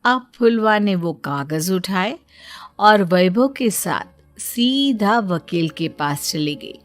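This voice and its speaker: A female storyteller reads a scripted tale. Her mid-pitched voice moves at a slow, measured pace, with light music playing underneath. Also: lively intonation, no room sound.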